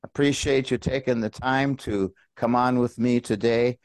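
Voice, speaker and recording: A man's voice: medium pitch, fairly even intonation, normal speed, no echo. A man hosting an interview podcast in a casual, chatty way, speaking directly to listeners. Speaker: podcast host and business coach.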